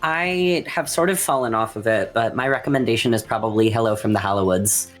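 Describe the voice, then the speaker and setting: Rambling, casual mid-pitched podcast panelist. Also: a little fast, soft background music. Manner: rambling, hedging with "like" and "sort of". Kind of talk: casual group chat.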